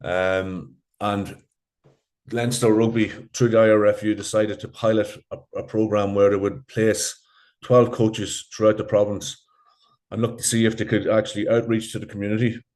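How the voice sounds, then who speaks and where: An Irish man, a rugby coach, answering interview questions with many pauses, ums and repeated words. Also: fairly low pitch, flat delivery, a little mellow. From Ireland.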